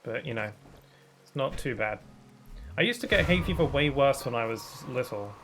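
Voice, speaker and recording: A man streaming a game, chatting casually and thinking aloud, a bit sniffly from hay fever, with bursts of excitement. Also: mid-range voice, moderate pace, slight background noise. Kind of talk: casual gaming commentary.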